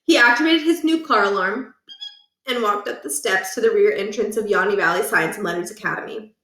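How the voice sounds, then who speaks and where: A woman reading a children's book aloud in an animated storytelling style. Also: mid-range voice, a little fast, echoey room, distant mic, low-quality recording.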